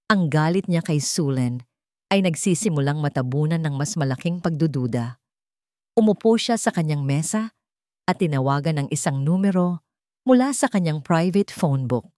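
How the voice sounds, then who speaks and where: A woman narrator reading a scripted romance drama in a measured, dramatic storytelling manner. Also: low voice, darkish tone, no echo, studio quality.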